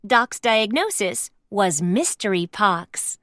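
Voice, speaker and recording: A woman reading scripted storybook narration aloud for young children in an expressive, careful storytelling manner. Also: mid-range voice, slow pace, dry recording, studio quality.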